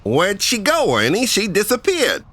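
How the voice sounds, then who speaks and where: A woman's voice: mid-range voice, huge pitch swings, normal speed, background noise. A woman playing a blustering, hapless small-town policeman in a comic radio drama. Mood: pained, flustered.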